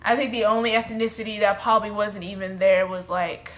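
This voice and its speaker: A woman's voice: medium pitch, steady tone, brisk. A woman in her twenties from the Dallas suburbs with a suburban Texas accent, chatting in a rambling, self-correcting way as she tells a story to camera. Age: 20s. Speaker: vlogger.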